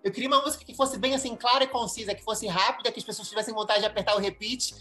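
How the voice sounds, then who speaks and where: A woman's voice: fairly high pitch, fairly even intonation, very fast, pushed and bright, faint music behind. A Brazilian woman in her thirties, a singer-songwriter, talking expressively in a casual interview. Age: 30s.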